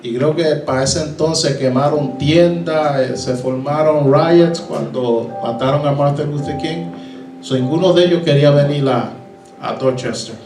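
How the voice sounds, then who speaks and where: A man's voice: on the high side, a little slow, recorded from a distance, music in the background. Puerto Rican pastor telling his story, echoey hall. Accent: Puerto Rican Spanish. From Puerto Rico. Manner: storytelling, acting out voices, mixing in English. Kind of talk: sermon testimony. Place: church hall.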